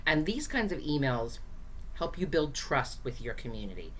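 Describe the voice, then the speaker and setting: A woman with a low, hoarse voice walks small business owners through marketing ideas. She talks straight to the listener in an easygoing, encouraging way and often fills pauses with casual asides. A faint hiss sits behind her. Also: sing-song, fairly bright tone, poor audio. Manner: chatty, direct, encouraging, with frequent fillers. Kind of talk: casual teaching to camera.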